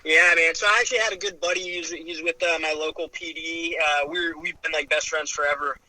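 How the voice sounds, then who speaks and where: Late-twenties man, police officer guest; bright, slightly high, chill slangy delivery. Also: steady tone, a little fast, no echo, low-quality recording. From Arizona. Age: 20s. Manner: laid-back, says dude and man constantly. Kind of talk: casual chat.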